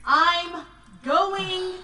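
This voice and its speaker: An actress playing a defiant, whiny, insolent teenage daughter in an audio play. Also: female voice, extremely high pitch, very slow, heavy background noise, full character voice. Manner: bratty, insolent.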